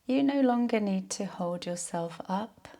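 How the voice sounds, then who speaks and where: Woman doing guided meditation, really slow n soft, bit of creaky fry in her voice. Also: mid-range voice, animated. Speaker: yoga nidra teacher.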